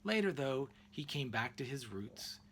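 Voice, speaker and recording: Lively male storybook narrator. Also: mid-range voice, measured pace, slight background noise. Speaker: read-aloud narrator. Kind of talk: expressive children's picture book read-aloud.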